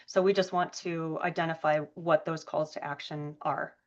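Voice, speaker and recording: A woman with a cold leads a meeting, hesitant and halting, with lots of ums and restarts. Her voice comes through a dull, lo-fi video call line. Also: sits low, fairly even intonation. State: has a cold. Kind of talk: meeting presentation.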